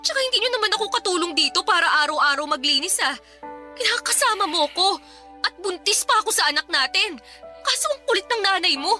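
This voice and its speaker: Defiant young girlfriend for radio drama: very high, very sharp, whining, always arguing back. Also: expressive, a little fast, full character voice. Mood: aggrieved, whining. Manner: whiny, argumentative, talks back. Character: defiant, aggrieved young pregnant live-in girlfriend. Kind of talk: Filipino radio drama acting. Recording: music bed behind the voice.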